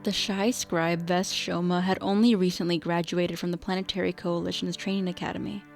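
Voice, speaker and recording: A woman narrating a scripted radio drama in a measured storytelling delivery. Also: lowish voice, flat delivery, gravelly, soft background music.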